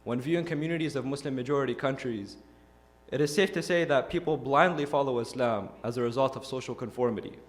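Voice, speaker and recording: A young man from Iraq giving an earnest, measured prepared talk to a youth audience, posing rhetorical questions. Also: mid-range voice. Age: young adult. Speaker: youth speaker. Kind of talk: prepared speech.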